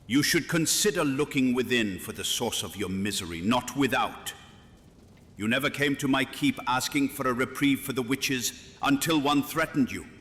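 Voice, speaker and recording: A man's voice: medium pitch, moderate pace, fairly bright tone, close to an everyday voice. Guy playing a stern king type, mid voice, formal and cutting, big echoey hall. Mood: accusing, cold. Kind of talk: dramatic audio fiction acting. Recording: long hall reverb.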